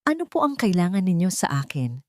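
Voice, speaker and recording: A woman's voice: lowish voice, very animated, brisk, darkish tone, no echo, studio quality. A woman voicing a wary, guarded heroine of a family drama in an audio storybook, cautious and questioning. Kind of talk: audio storybook character dialogue.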